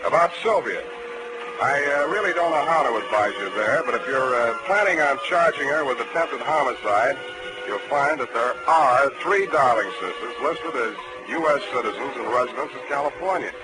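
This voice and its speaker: A man's voice: medium pitch, animated, moderate pace, over loud music, lightly acted. An American man acting a wry, tough, flirtatious private detective in a 1940s radio drama. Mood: wry reporting. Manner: wry, sardonic. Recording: noisy vintage 1940s radio broadcast.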